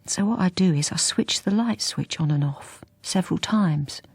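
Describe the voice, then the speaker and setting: A lonely, invisible middle-aged wife who narrates her own radio drama. Her voice is low and creaky, dropping at times to a breathy near-whisper. She confides hesitantly, wistful and understated. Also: moderate pace, no room sound. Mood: wistful wonder.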